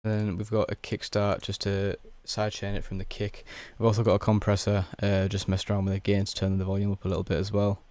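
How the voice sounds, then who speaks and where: Young male producer, hoarse, low and mellow, monotone tutorial read. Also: fast talker, few pauses. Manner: laid-back, explains step by step with many fillers. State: hoarse, losing his voice. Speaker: music producer. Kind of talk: casual software tutorial.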